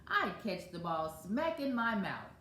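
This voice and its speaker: A woman's voice: mid-range voice, big pitch swings, a little slow, fairly bright tone, echoey room, slight background noise. A woman voicing a small, eager, polite puppy in a children's storybook reading. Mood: wishful. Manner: polite, wishful.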